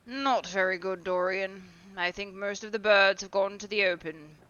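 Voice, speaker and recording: Posh hunting gent barking orders, short-tempered, a woman puts him on, bright tone. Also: mid-range voice, normal speed, lo-fi sound, natural voice. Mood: offhand. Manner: loud, brusque and irritable. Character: blustering aristocratic male sportsman, hot-tempered.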